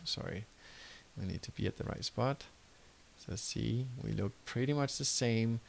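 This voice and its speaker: A man's voice: lowish voice, creaky. A man from Denmark with a Danish accent, teaching web design over a screen recording in a loose, self-correcting way. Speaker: web design course instructor. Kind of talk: screen-recorded tutorial and course pitch.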